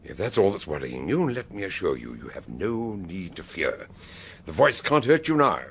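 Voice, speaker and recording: An actor in a radio drama playing a shrewd, blunt police superintendent with a brusque, knowing authority. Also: male voice, sits low, very animated, normal speed, slight background noise. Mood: amused, assured.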